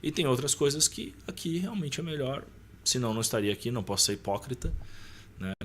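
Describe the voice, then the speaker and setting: A man's voice: mid-range voice, animated, quick pace, fairly bright tone, a little hiss or hum. A man in his thirties with a Brazilian accent, telling personal stories casually as a podcast guest in a colloquial, slangy way. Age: 30s. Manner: colloquial, slangy, often seeking agreement with short tags.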